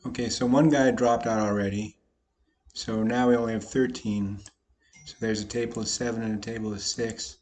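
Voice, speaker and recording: A slightly hoarse man, a poker vlogger, casually talking through his online poker hands with a folksy, self-deprecating manner. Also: on the low side, fairly even intonation, moderate pace, darkish tone. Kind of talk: casual commentary over online poker play.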